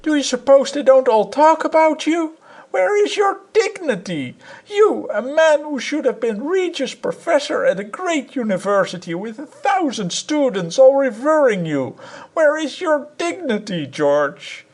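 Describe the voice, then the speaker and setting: A shrill, furious little wife. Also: male voice, big pitch swings, unhurried, heavily acted. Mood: furious scolding. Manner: screaming, wailing, near tears. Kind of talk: audiobook character reading.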